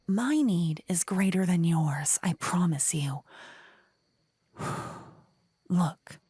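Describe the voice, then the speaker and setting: A woman playing an indignant, blunt young necromancer rival in a fantasy ASMR roleplay, hushed and insistent. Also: lowish voice, animated, very slow, husky, no echo, full character voice. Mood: insistent, exasperated. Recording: close dry ASMR microphone.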